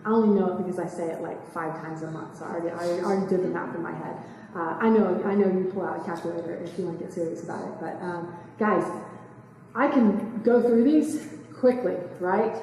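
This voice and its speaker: A woman, giving a quick, chatty seminar talk and asking the room questions. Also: fairly low pitch, very echoey, long reverb tail, recorded from a distance, poor audio. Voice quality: soft voice. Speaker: real estate investing speaker. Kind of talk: live seminar talk to a small audience. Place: meeting room, indoors. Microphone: far camera.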